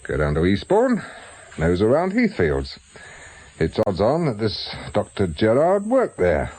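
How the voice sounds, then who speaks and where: Shrewd British investigator, husky, lively pitch swings, blunt, dryly stubborn, radio drama. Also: male voice, measured pace, exaggerated voice, rough recording. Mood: musing, determined. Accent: British English. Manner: probing, blunt questioning.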